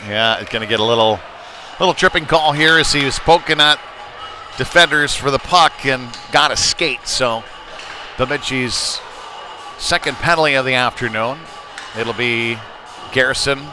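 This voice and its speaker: Male hockey play-by-play commentator, conversational and folksy, recorded amid clear arena noise. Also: medium pitch, normal speed, pauses often. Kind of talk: live youth hockey game commentary. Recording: noisy rink ambience behind voice.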